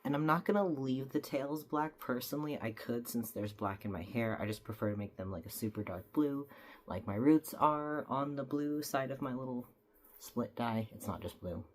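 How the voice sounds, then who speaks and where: A woman's voice: rumbling low, animated, normal speed, a little mellow. A young woman, slightly stuffy from a cold, chatting casually as she explains each step of a makeup tutorial.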